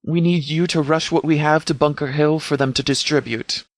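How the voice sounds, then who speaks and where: A man acting a stern, authoritative sheriff in a video game, speaking in a formal, measured, commanding way. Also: fairly high pitch, steady tone, dry recording, lightly acted. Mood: brisk, commanding. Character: stern, authoritative male sheriff of a wasteland militia. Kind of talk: scripted video game character dialogue.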